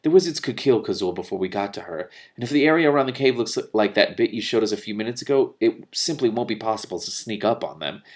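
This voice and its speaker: A man's voice: fairly low pitch, expressive, fast talker, few pauses, lightly acted. A man voicing a practical, forthright young princess in a storybook.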